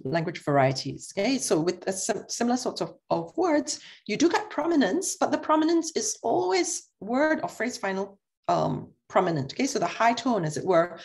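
A middle-aged woman with a Singapore English accent giving a brisk online linguistics lecture, often checking in with "okay?". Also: mid-range voice.

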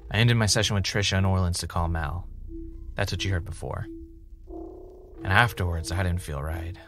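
A man playing a weary, low-voiced former champion. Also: moderate pace, a bit bright, soft background music. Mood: drained, downcast. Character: weary, jaded former reality show winner turned podcaster. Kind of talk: audio drama dialogue and confessional narration.

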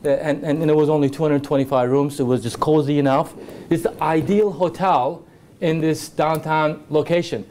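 A man's voice: a little high, fairly even intonation, normal speed, a little mellow, a little hiss or hum. Stammering man on a hotel panel. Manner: stammering restarts. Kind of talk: conference panel discussion.

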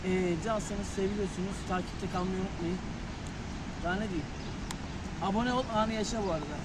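Excitable young man vlogging outdoors. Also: sits high, fairly quick. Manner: chatty, excitable, addresses viewers directly. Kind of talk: casual travel vlog. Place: outdoors by a river and waterfall. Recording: loud outdoor noise.

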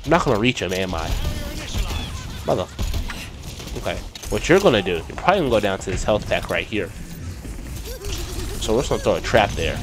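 A man's voice: on the low side, very animated, fairly quick, long pauses. Stuffy young man chatting over gameplay. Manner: chatty, talks to himself and viewers while playing. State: stuffy from a cold. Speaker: gaming streamer. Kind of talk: casual gameplay commentary. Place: home room. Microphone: desk mic with headset. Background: game music and sound effects.

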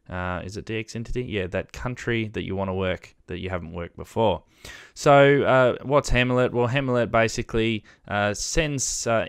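Deep, clear Australian man hosting. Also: no room sound, studio quality. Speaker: host of a ham radio video channel. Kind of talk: casual tutorial to camera. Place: small indoor room at a desk. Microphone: desk microphone, close, with headset on.

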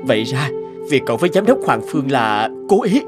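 Cartoon role: earnest adult man played by an actor, somewhat hesitant, very expressive intonation, average register. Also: mid-range voice, brisk, loud music behind the voice, natural voice. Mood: puzzled. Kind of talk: cartoon dubbing.

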